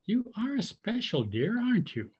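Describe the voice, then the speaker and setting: A motherly storybook frog lady, played by a man, gentle and full of wonder. The voice is lifted high with lots of movement, on a slightly dull recording. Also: huge pitch swings, normal speed, breathy edge, exaggerated voice. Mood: amazed, admiring. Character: motherly female frog, surprised and admiring. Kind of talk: children's storybook character voice.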